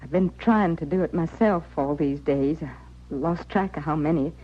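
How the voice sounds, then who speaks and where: An actress with an American accent playing a worn, stubborn young widow on the prairie in plain rustic speech for a radio western. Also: female voice, low-pitched, moderate pace. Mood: bleak. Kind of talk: old-time radio western acting. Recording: muffled old radio recording.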